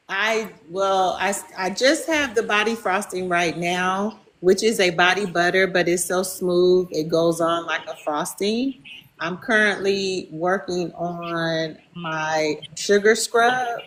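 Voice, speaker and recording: A woman in her fifties chatting casually as a podcast guest, bubbly and quick to laugh. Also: fairly low pitch, fairly bright tone. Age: 50s. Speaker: guest, body care business owner.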